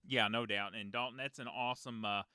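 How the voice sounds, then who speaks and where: A man in his thirties with a deep voice, a race announcer hosting a casual podcast and telling long stories with many fillers. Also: fairly even intonation, a little fast, pushed and bright, clear, resonant tone, dry recording, clean studio sound. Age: 30s. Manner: rambling storyteller, many fillers and restarts.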